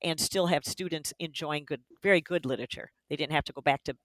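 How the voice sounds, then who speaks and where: A woman's voice: deep voice, fast, dry recording, rough recording. Enthusiastic woman in a dry recording. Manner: enthusiastic, run-on sentences. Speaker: podcast co-host, band director. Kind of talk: casual conversation.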